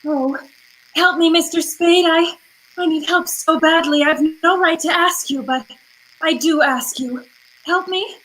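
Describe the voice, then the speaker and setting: A high-voiced femme fatale, acted by a woman from an old-time radio mystery. She is fluttery and hesitant, pleading with melodramatic helplessness that hides a manipulative streak. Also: measured pace, a bit bright, rough recording.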